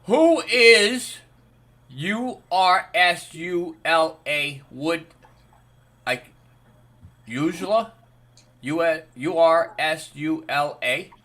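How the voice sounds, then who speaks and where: A man's voice: on the high side, animated, very slow, long pauses, sharp tone. A man hosting a casual live-stream chat who jokes, laughs easily and thanks his viewers. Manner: chatty, laughs often, thanks donors.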